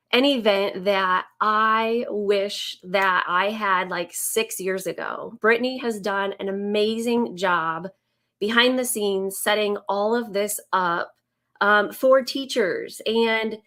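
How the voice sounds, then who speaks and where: A woman in her thirties, raspy from a summer cold, talking slowly and warmly to viewers on a live stream as a business coach, with many ums. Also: mid-range voice. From Indiana, US. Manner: slow, friendly, many ums.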